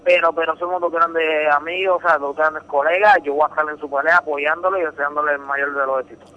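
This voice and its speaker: A young Puerto Rican man speaks Spanish with a Puerto Rican accent, answering a radio interview over the phone. His voice sits a little high and sounds bright and forceful. He tells his story earnestly and repeats words as he goes. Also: steady tone, brisk, muffled recording. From Puerto Rico. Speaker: interviewed boxing champion.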